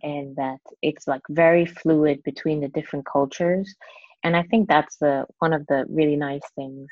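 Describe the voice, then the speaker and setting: Young Hong Kong woman guest, Cantonese-native, very low hesitant voice, muffled dry audio. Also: fairly even intonation, moderate pace, no room sound. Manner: soft-spoken, hesitant, many "like" fillers. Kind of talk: casual interview answers.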